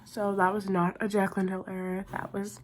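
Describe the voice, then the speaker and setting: A young woman chatting casually through a makeup tutorial, murmuring and trailing off as she works, with a slightly raspy voice from getting over a sickness. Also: mid-range voice, flat delivery, normal speed, a little hiss or hum. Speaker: beauty vlogger.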